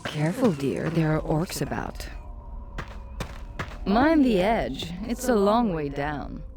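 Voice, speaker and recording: A woman's voice: sits low, big pitch swings, takes it slowly, noisy background, heavily acted. An actress playing a sultry, teasing, secretive female demonic guide in a video game, sly and purring with mock affection. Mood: watchful, sly.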